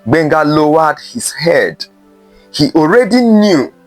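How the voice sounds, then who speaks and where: A man narrating a scripted folktale in a measured way, with dramatic pauses between short phrases. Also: fairly high pitch, very animated, no room sound, soft background music. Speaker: storyteller.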